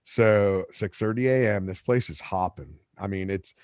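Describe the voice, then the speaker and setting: A man's voice: low voice, normal speed, darkish tone, smooth, dry recording, studio quality. A man whose first language is Spanish, hosting a podcast and telling a long story in a casual, rambling way with lots of asides and restarts. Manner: rambling, self-correcting, with asides. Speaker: podcast host.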